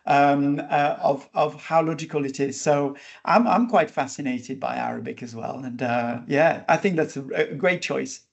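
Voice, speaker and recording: A man's voice: a little high, moderate pace, gravelly, few pauses, highs cut off. A man hosting an interview podcast, talking casually with many fillers and restarts. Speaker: podcast host.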